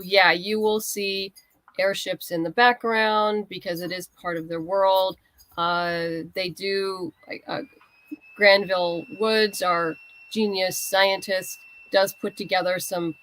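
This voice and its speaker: A woman's voice: mid-range voice, steady tone, slow pace, a bit bright, rough recording. A woman speaking as a writer guest in a casual interview conversation.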